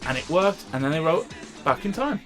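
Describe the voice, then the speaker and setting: A man's voice: fairly high pitch, big pitch swings, fairly quick, faint music behind. A British man giving an informal, opinionated video review with sardonic, sweary commentary. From Britain. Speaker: host and reviewer.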